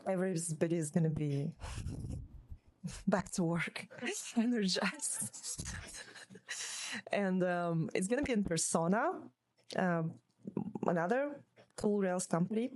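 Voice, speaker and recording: A woman hosting a meetup, giving an informal introduction in a halting way, searching for her words. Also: on the low side, a little mellow. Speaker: meetup host.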